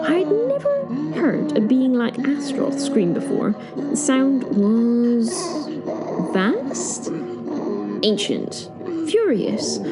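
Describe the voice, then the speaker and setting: A woman playing a wry, awed witness, narrating a horror audio drama in the first person with suspenseful pauses. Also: on the high side, low-quality recording. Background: music.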